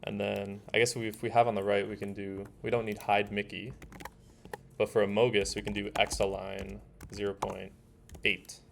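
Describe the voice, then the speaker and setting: A man with a lowish voice teaches an informal workshop, talking casually and haltingly as he works through each step. Keyboard typing and room noise are clearly audible behind him. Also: monotone. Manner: casual, halting, thinks aloud. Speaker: workshop instructor. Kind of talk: informal hands-on tutorial, explaining while typing.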